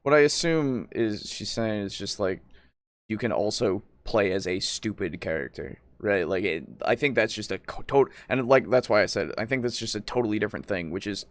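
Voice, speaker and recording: Lowish voiced guy on a podcast, rambly, kinda hesitant, very dry close sound. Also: dry recording. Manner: rambling, hesitant, thinking aloud. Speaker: podcast co-host. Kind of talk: casual discussion.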